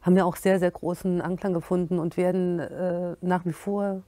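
Warm, dry-voiced woman host. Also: lowish voice, little pitch movement, normal speed, no echo. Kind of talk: moderating an event interview. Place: indoor room with curtains.

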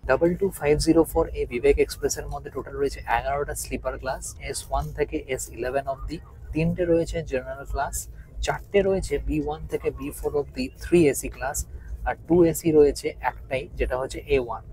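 A man's voice: on the high side, fast, low-quality recording. A young man, chatting casually to viewers as a travel vlogger while filming himself at arm's length. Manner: lively, chatty, points things out to viewers. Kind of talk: casual travel vlog narration. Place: train coach and station platform, mostly moving train. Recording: train and station noise clearly behind.

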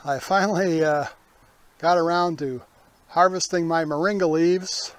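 A man, hosting a casual garden tour in a folksy, unhurried way outdoors. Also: fairly high pitch, fairly even intonation, no echo. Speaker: gardening vlog host.

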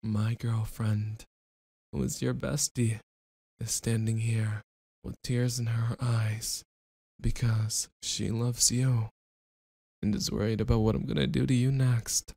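A man playing a jealous, possessive bully boyfriend in an ASMR roleplay, taunting with slow, deliberate, mocking menace. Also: medium pitch, little pitch movement, vocal fry, dark tone, no room sound.

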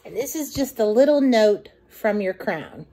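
A middle-aged woman reading a children's picture book aloud in an expressive, deliberate, sing-song way. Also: mid-range voice, slight background noise, poor audio. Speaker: children's storytime reader.

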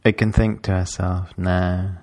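A man leading a guided meditation in a calm, measured, instructional way, with long pauses between phrases. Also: low-pitched, no room sound.

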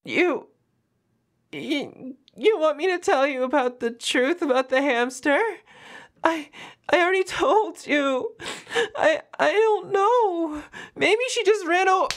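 A woman's voice: sits high, lively intonation, slow. A woman voicing a defensive, flustered young half-wolf girl roommate in an audio roleplay, stammering and evasive with a whiny manner. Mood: tearful, pleading.

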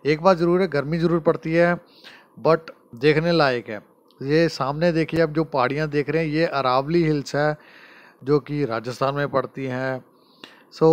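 A man giving a friendly road trip voice-over, talking straight to viewers. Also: on the high side. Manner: friendly, addresses viewers directly. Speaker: travel vlogger.

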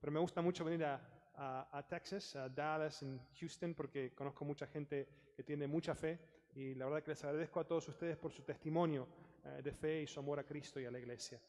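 A visiting male priest speaks informally at a lectern. His voice sits a little high, and it rings through a large, reverberant church. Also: fairly even intonation, brisk, a little mellow. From Argentina. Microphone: headset microphone.

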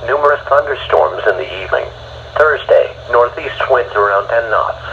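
An American man's synthetic text-to-speech voice reading a weather bulletin in a flat, formal way. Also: medium pitch, moderate pace. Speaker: automated weather radio announcer. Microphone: phone held to a radio speaker. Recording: dull radio broadcast re-recorded by phone, faint noise.

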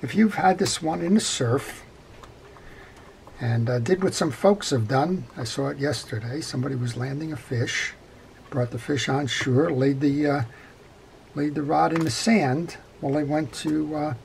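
A man's voice: on the high side, a little slow, creaky, a little mellow, slight background noise, poor audio. A middle-aged man giving an unscripted hands-on repair tutorial in a methodical, plain-spoken way at an indoor workbench. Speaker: fishing reel repair instructor.

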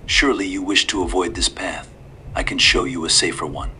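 A man voicing a sinister, smirking old man in a suit, speaking low, slow and hushed, sometimes in a whisper, in a horror story. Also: steady tone, a little hiss or hum. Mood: calm, ominous.

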